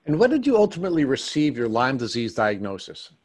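Guy interviewer, calm long questions, normal pitch. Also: quick pace, slight background noise.

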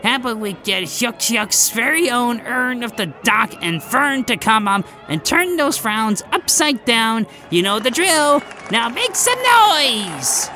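A brash cartoon armadillo showman played by a woman works the mic as emcee. The voice is fairly high and very animated, announcing with swagger over music in an audio story. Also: takes it slowly, hardly any pauses, exaggerated voice. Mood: hyping crowd. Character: brash male metal armadillo club emcee, foul-mouthed showman. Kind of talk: comedic audio drama acting.

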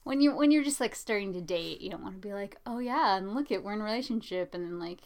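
A young woman co-hosting a podcast, chatting in a dry, teasing, deadpan way. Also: mid-range voice, fast talker. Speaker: podcast co-host. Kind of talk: casual chat.

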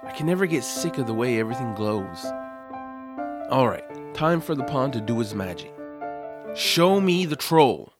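An actor playing an upbeat, eager young adventurer, cheerful and coaxing, in a family audio drama. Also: male voice, medium pitch, very animated, normal speed, music in the background, natural voice. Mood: eager, wondering.

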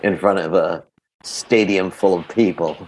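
A man's voice: deep voice, steady tone, a little slow, darkish tone, slight background noise, low-quality recording. A man from Queens, New York, a native Greek speaker, speaking as an interview guest and telling personal stories in a conversational way. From grew up in Queens, New York, from early childhood. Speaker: guest, actor.

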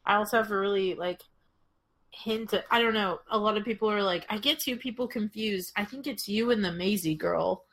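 A woman's voice: medium pitch, fairly quick, a bit bright. Wry woman with a Northern US accent. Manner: joking, wry, giggly. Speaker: podcast co-host. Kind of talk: casual chat.

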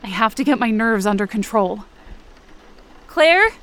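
A woman's voice: on the high side, lively intonation, measured pace, fairly bright tone. A woman playing an anxious, injured young camp counselor, narrating a first-person audio drama in a tense, dramatic way. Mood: worried calling. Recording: rain and ambience behind the voice. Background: rain and outdoor ambience effects.

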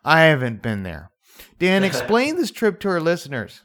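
A male radio host with a fairly high voice speaks playfully and jokes with his guests. His tone swings up and down a lot. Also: huge pitch swings, normal speed, fairly bright tone. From San Francisco Bay Area, California. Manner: playful, jokey. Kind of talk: casual radio interview.

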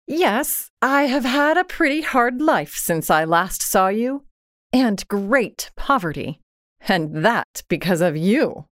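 A woman's voice: on the high side, big pitch swings, slow pace, no echo, clean studio sound, pitched above the performer's own voice. A woman voicing a careworn, proud, plain-spoken working-class wife in a storybook reading, earnest and emphatic. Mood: frank, weary.

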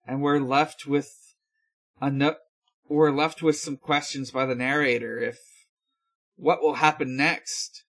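A man hosting a podcast, giving a casual recap in a halting, unhurried way full of hesitations. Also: medium pitch, level tone, a bit bright. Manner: halting, filler-heavy, unhurried. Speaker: podcast host. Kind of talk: casual episode recap with frequent pauses.

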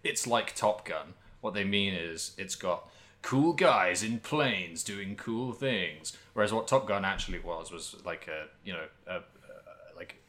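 A man's voice: deep voice, expressive, a little slow, fairly bright tone. A man co-hosting a casual video game podcast, thinking aloud in a hesitant, rambling way. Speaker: podcast co-host.

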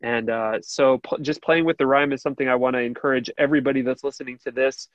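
A radio host with a high, nasal voice talks in a loose, rambling way, full of fillers and restarts. His voice sounds dry and close, with a dull, lo-fi quality. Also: steady tone, quick pace, no echo. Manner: rambling, many fillers and restarts, laughs easily. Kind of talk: casual interview chat.

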